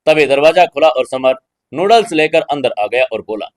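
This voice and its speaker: A man's voice: a little high, expressive, moderate pace, dry recording, clean studio sound. A man narrating a scripted audio drama serial in a storytelling style.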